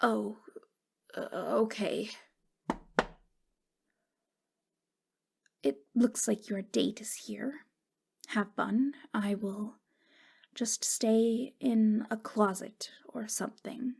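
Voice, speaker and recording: A woman playing a wistful, wounded ghost girl in an intimate ASMR roleplay, speaking in a halting, fragile, stammering way. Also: mid-range voice, fairly even intonation, gravelly, darkish tone, breathy edge, exaggerated voice. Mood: hurt, resigned. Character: wistful, wounded ghost girl, long dead since wartime.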